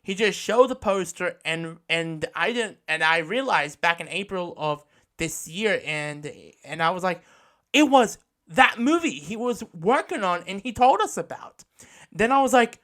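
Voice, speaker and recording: Bright-voiced man hosting a podcast. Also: sits high, animated, moderate pace. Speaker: podcast host. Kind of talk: casual podcast monologue, reviewing anime.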